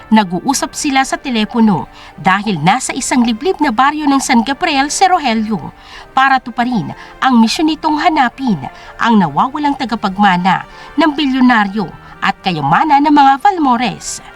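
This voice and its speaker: A woman's voice: a little high, very animated, normal speed, soft background music. A woman reading scripted dramatic narration as the storyteller of an audio drama.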